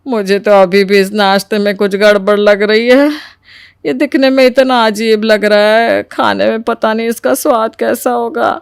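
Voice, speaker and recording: A woman playing a wary middle-aged Indian mother-in-law in an animated moral story, grumbling in exasperated asides and retorts. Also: mid-range voice, moderate pace, vocal fry, clean tone, dry recording, studio quality, natural voice.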